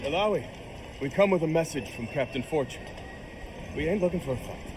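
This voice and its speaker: An actor playing a rough sailor delivering a captain's message in a video game, blunt and colloquial. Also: male voice, a little high, animated, brisk, background noise, close to an everyday voice. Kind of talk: video game character acting.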